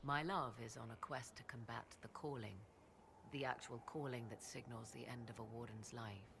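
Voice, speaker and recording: An actress playing a proud, sardonic sorceress in a video game, with archaic, formal, haughty diction. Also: female voice, rumbling low, steady tone, moderate pace, creaky, noisy background. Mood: composed, wistful. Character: proud, sardonic sorceress, devoted mother and lover. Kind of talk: dramatic video game dialogue.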